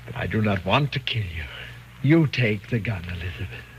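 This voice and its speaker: An actor playing a domineering, murderous hypnotist in a 1940s radio thriller, slow, commanding and coaxing. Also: male voice, fairly low pitch, animated, lightly acted. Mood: menacing, controlling. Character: sinister, domineering male hypnotist with murderous calm. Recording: dull, noisy 1940s radio recording.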